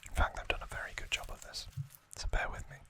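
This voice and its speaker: A man hosting an ASMR unboxing, speaking in a breathy whisper, gently and unhurriedly in short phrases with pauses. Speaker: ASMR video host.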